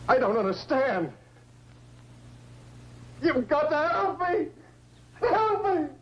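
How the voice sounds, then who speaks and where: An actor playing a dazed astronaut shaken by a crash landing, in 1950s science fiction film style. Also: male voice, extremely high pitch, big pitch swings, slow, dull audio, heavily acted. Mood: desperate. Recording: noisy old film soundtrack with hum.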